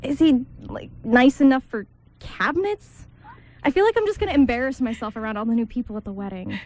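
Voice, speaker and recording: A woman's voice: a little high, lively intonation, brisk, a little mellow, natural voice. A woman playing an agreeable but self-doubting young bridesmaid in an amateur radio drama, with a hesitant, obliging manner. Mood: nervous.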